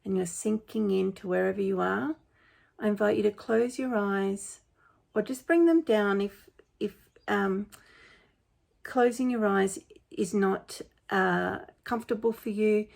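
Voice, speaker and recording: A woman leading a guided meditation in a gentle, unhurried, informal and soothing way. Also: medium pitch, vocal fry. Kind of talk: guided meditation, spoken softly to listeners.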